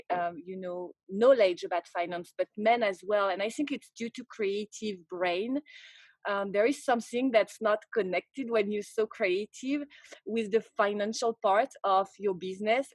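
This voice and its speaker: A woman's voice: medium pitch, no room sound. French-accent lady hosting a chat, gushy and chatty, super dry close sound. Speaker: host. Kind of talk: casual interview chat.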